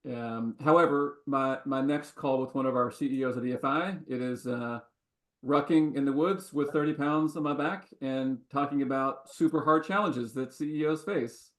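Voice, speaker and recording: Relaxed man, reflective and unhurried. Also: mid-range voice. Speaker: coach and podcast host. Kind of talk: casual panel talk.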